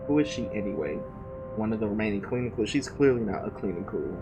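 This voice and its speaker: A man's voice: on the low side, expressive, fast, dull audio, music in the background. A man reading the story of a visual novel aloud, stumbling and restarting often, with a casual manner.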